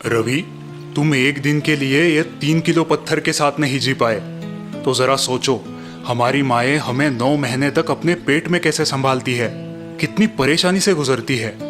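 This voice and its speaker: A man's voice: medium pitch, measured pace, music in the background, lightly acted. A man voicing a stern but caring teacher in a cartoon moral story, firm and instructive. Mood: solemn, instructive.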